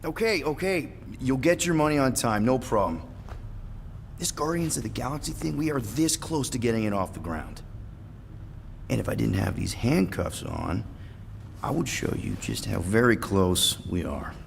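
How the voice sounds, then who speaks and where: A man's voice: medium pitch, expressive, normal speed, vocal fry, a little hiss or hum. An actor playing a glib, wisecracking male space adventurer in a cinematic video game, given to joking and rambling. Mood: pleading, cocky. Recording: music behind the voice.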